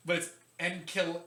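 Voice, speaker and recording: Bright-voiced man chatting in a roomy space. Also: sits high. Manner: informal, joking, stumbles over foreign names. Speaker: podcast host. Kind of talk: casual explainer chat. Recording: roomy echo, faint background noise.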